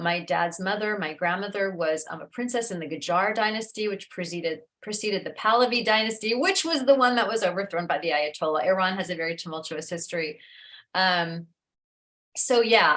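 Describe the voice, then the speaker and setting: A middle-aged woman talks in a relaxed, reflective way, her voice sitting at an ordinary middle pitch. She sounds earnest and thoughtful, now and then breaking into light laughter. It is a casual conversation over a video call. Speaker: author being interviewed.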